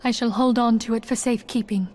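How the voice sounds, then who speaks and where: A woman's voice: on the high side, steady tone, fast talker, darkish tone, natural voice. A woman playing an earnest young female mystic leader in a fantasy game, solemn and pleading. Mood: grieving. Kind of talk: fantasy video game acting.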